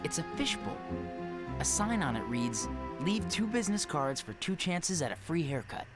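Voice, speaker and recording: A woman's voice: sits low, sing-song, moderate pace, over music. A woman voicing a character in a detective video game, speaking matter-of-factly. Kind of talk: game dialogue.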